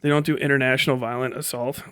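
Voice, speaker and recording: A man's voice: mid-range voice, level tone, fast, a bit bright, clear, resonant tone, no room sound, clean studio sound. A man doing casual livestream commentary, talking in an emphatic, profane, ranting way. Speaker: livestream host.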